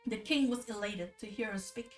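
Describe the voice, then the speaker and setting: A woman streamer reading stories and commenting casually, with an informal, halting, rambling way of talking. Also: mid-range voice, distant mic, faint music behind. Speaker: streamer reading folktales aloud. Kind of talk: casual livestream storytelling and commentary.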